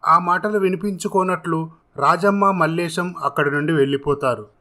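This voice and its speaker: Bright, higher-pitched male storyteller. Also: steady tone, normal speed. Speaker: narrator of a moral story. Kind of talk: scripted storytelling narration with acted dialogue.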